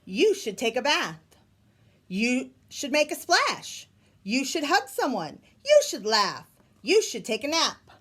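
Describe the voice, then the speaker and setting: A woman's voice: sits high, very animated, slow pace, a bit bright, husky, pitched above the performer's own voice, full character voice. A woman voicing cheerful, nosy animal friends in a storybook, with an emphatic singsong delivery. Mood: eager urging.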